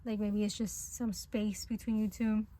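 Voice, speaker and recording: A woman who is not a native English speaker gives a casual tarot reading in a gentle, reassuring and hesitant way, with many fillers. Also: mid-range voice, little pitch movement, measured pace. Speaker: tarot reader.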